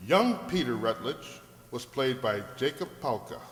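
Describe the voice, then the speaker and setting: A man working as an announcer, reading scripted radio drama credits in a measured, formal manner. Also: mid-range voice, lively intonation, very noisy. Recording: echoey hall, loud music behind.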